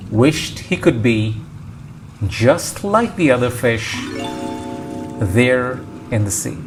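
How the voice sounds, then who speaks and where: Very slow-paced man reading a storybook. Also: mid-range voice. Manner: measured, pausing between short phrases. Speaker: storybook reader. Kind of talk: slow scripted children's read-aloud narration. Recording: clear background noise, ambience. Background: ocean ambience sound effects.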